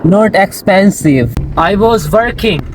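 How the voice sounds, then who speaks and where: A young man from India with an Indian English accent, whose first language is Hindi, narrating a casual vlog in simple English with many pauses and fillers. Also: sits high, lively intonation, background noise. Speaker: vlogger. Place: outdoors in a busy industrial area and market.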